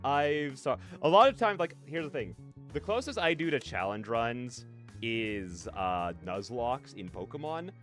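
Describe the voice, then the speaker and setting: A man's voice: medium pitch, sing-song, a little slow, fairly bright tone, faint music behind. A man hosting a live stream in casual, rambling, self-deprecating chat, on a close dry mic. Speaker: streamer and host. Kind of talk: casual live-stream chat with chat replies and read-aloud game narration.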